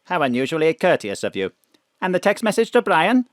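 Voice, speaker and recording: An actor voicing a polite, faintly reproachful robotic car assistant in a radio drama, recorded dry in a home studio. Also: male voice, on the high side, big pitch swings, fairly quick, no echo. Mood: prim. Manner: prim, courteous, slightly reproachful.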